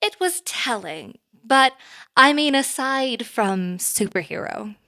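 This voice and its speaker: Bright-voiced, poised, formal boss. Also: female voice, on the high side, very animated, heavily acted. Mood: polite, curious. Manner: measured, precise, formal. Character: poised, formal, politely earnest female boss interviewing an applicant. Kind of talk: audio drama acting, conversational.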